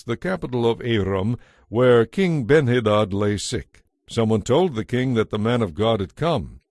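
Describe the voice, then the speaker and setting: A man's voice: mid-range voice, a little mellow, dry recording, studio quality. A man reading scripted narration for an audio Bible.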